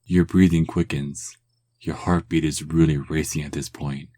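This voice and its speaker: A man narrating a second-person romance story in a calm ASMR style, drifting at times into hushed whispers. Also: rumbling low, normal speed.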